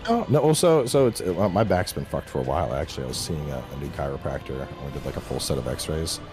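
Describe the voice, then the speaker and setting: A man's voice: rumbling low, fast talker, over music. A man in his thirties chatting casually as a gaming streamer, blunt and sweary, given to ribbing. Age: 30s. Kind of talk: casual chat, banter.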